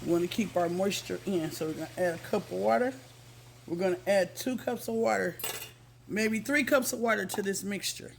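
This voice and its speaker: Woman cooking at home, a bit hoarse and gravelly, keeps saying guys, faint sizzle in the back. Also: mid-range voice, fairly quick, a little hiss or hum. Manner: chatty, says "guys" constantly, repeats herself. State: slightly hoarse from illness. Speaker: cooking channel host. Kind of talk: casual cooking vlog. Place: home kitchen. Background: food sizzling in a pan.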